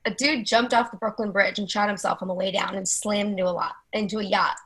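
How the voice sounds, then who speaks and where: Foul-mouthed, intense woman, twenty-seven played by a woman, sharp husky voice, brash stage dialogue. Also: mid-range voice, fairly even intonation, fast talker. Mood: excited. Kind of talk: readers theatre play reading.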